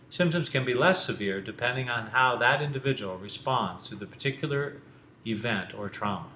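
A man's voice: lowish voice, sharp tone. An older man presenting an educational explainer in a small office. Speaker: presenter, mental health educator. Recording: roomy echo with faint noise.

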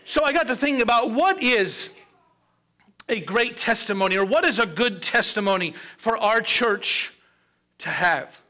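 Middle-aged male pastor, high and bright voice, measured preaching delivery with pauses. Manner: preaching with steady build-ups and pauses, gesturing at a pulpit. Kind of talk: sermon. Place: church sanctuary with a colorful children's stage set.

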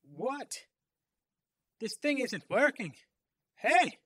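A man's voice: extremely high pitch, big pitch swings, very slow, fairly bright tone, husky, no echo, clean studio sound, voice pushed up, exaggerated voice. A man voicing a taunting, menacing male supervillain crime boss in an audiobook. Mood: frustrated. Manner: menacing, gloating. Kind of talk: audiobook villain dialogue.

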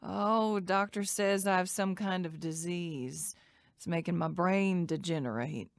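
A woman's voice: on the low side, takes it slowly, dry recording, clean studio sound. An actress playing a weary, despairing mother in her forties who rambles and repeats herself in a radio drama. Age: 40s.

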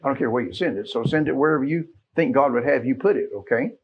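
Husky American pastor, middle-aged man. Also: medium pitch, animated, quick pace. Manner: folksy, conversational, asks listeners questions. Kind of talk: informal church announcements from the pulpit. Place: small church sanctuary, at pulpit. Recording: dull, muffled church sound recording.

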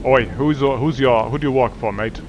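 A man's voice: medium pitch, fairly quick, muffled recording, heavy background noise. A man roleplaying a streetwise hustler on a game server, wheedling and tacking "mate" onto his lines in an accent that sounds put on. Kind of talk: in-character game roleplay over voice chat.

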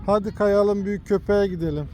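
A father filming his small son in an outdoor park, gently coaxing him and pointing things out. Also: high-pitched, a little fast, a little hiss or hum. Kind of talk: casual family vlog. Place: outdoor park with cartoon statues.

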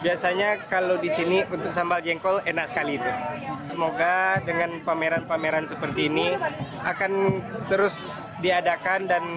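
A young man interviewed outdoors at an exhibition booth, hesitant with many fillers and restarts. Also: sits high. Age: young adult. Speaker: local resident interviewed. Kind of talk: street interview. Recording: loud crowd noise outdoors. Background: busy crowd.

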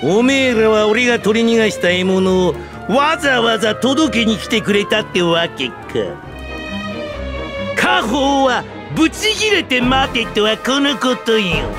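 High-pitched bullying monster boss. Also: male voice, expressive, unhurried. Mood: gloating, menacing. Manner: bullying, theatrical drawl, rough gangster speech. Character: huge boastful villainous monster gang boss, a dragon-like brute. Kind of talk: video game cutscene acting. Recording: music behind the voice.

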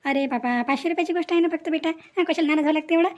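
A woman playing an anxious, soft-hearted village woman in a cartoon family drama, fretful and pleading. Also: high-pitched, racing, close to an everyday voice. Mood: motherly.

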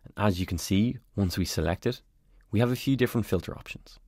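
A man calmly narrating a step-by-step software tutorial as a voice-over on a screen recording. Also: fairly low pitch, fast talker, no room sound, studio quality. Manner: calm, step-by-step explanatory.

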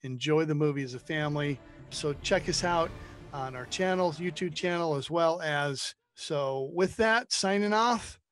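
A man's voice: on the high side, unhurried, a bit bright. A man hosting a faith movie show, signing off from his studio in an upbeat, promotional way. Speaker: podcast host, pastor. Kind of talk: show sign-off. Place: home studio.

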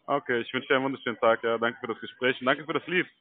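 A man's voice: medium pitch, steady tone, racing, dry recording. A man working as a call-centre caller, chatting casually in an easygoing, hesitant way. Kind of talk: casual phone chat. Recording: narrow thin phone line.

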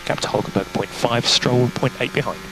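A man giving calm, matter-of-fact, terse instructions to a driver over team radio as a race engineer. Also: mid-range voice, brisk. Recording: team radio with faint background noise.

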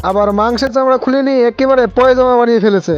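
High-pitched, fast-talking man narrating comedy. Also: fairly even intonation, highs cut off. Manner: mocking, colloquial, addresses viewers directly. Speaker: narrator of a funny cartoon recap channel. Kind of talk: comic scripted story narration, slangy. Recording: music bed under the voice. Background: music.

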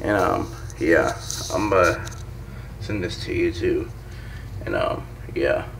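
A hoarse young man recorded on a handheld phone in a dim bedroom, his sick morning voice low and gravelly. He rambles through a casual vlog, leaning on fillers and calling his viewers guys. A faint hum of room sound sits behind him. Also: big pitch swings, slow. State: hoarse from being sick and just woken up. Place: dim bedroom at home, indoors. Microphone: phone held in hand.

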